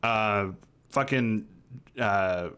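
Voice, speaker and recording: A man's voice: lowish voice, drawn-out words, fairly bright tone, clear, resonant tone. A man in his thirties chatting casually about film and TV as a podcast co-host, swearing now and then, close on a mic at home. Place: small home room, remote call.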